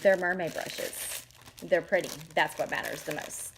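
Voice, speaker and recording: A woman's voice: on the low side, fairly even intonation, measured pace, creaky, noisy background. A woman, chatting casually and gushing as she shows makeup products to the camera. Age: 40s. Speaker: makeup seller showing products. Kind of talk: casual product demo vlog.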